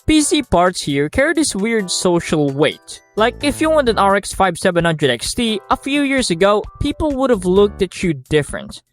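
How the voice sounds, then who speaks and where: A Filipino-accented man talks through a scripted video essay, and his voice sits high and swings widely in pitch as he makes his points. His delivery is blunt and sarcastic, and now and then he throws in a swear. Faint music plays quietly under his voice. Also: sing-song, normal speed, hardly any pauses. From Philippines. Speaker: YouTuber.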